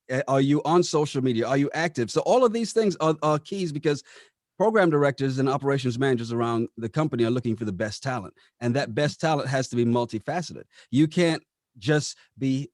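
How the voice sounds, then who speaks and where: A man's voice: medium pitch, darkish tone, no echo, clean studio sound. A man answering interview questions conversationally, explaining things and often posing rhetorical questions as he goes. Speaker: radio operations manager being interviewed.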